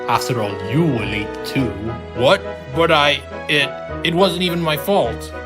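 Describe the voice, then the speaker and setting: A man's voice: fairly high pitch, expressive, a little slow, over loud music. A man voicing bickering, haughty steam engines in a cartoon dub. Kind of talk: comic dub character acting.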